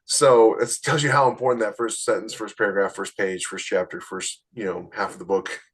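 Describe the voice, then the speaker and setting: Casting: male podcast co-host, moderately low pitch, relaxed-format chat with an anecdotal, off-the-cuff style. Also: a little fast, husky. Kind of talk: casual, anecdotal podcast talk.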